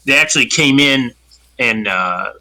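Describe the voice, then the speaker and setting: A man playing a polite marshal investigator in a tabletop game, informal and halting as he talks. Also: mid-range voice, lively intonation, sharp tone, exaggerated voice, rough recording. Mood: cautious, polite.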